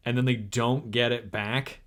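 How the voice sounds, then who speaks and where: A man's voice: medium pitch, steady tone, measured pace, a bit bright. Wry, skeptical young man chatting. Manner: wry, skeptical, laughs often. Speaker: co-host. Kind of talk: casual chat.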